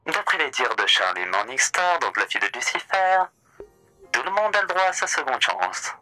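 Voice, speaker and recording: A man doing a grandiloquent demon hotel host who speaks with mocking courtesy. His voice is very bright and crisp. It swoops up and down through every phrase, with faint music behind. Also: medium pitch, sing-song, moderate pace, low-quality recording. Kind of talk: voice roleplay.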